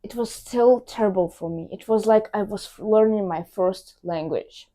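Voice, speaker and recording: Woman, vlogger, medium pitch, upbeat and encouraging, slight non-native grammar slips. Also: moderate pace, dark tone. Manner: upbeat, encouraging, talks straight to viewers. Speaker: language-learning vlogger. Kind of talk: direct-to-camera tips vlog.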